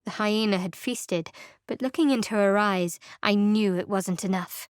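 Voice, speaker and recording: An actress plays a guarded young cleric who keeps her secrets close, her voice cool and measured over a dry, close studio sound. She narrates and comments with dry restraint, at an ordinary, middling pitch for a woman. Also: clean tone, dry recording, natural voice. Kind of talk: game voice acting.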